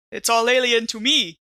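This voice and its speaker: A woman's voice: a little high, bright, forceful tone, no room sound, studio quality. A woman playing a tabletop role-playing game, chatting casually about her moves and dice.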